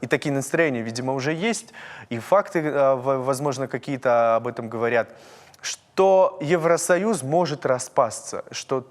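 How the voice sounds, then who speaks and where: Ossetian male TV host, reverberant studio. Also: medium pitch. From North Ossetia. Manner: measured, searching phrasing with fillers. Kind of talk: TV interview and sign-off.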